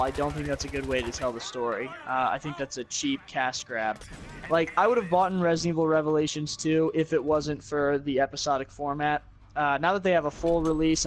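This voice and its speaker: A man giving blunt, opinionated takes on video games as a guest in casual talk. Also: on the high side.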